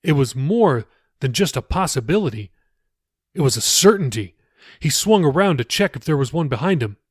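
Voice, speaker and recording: A man's voice: on the high side, sing-song, moderate pace, husky, no room sound, clean studio sound. A man reading his own novel aloud as scripted audiobook narration.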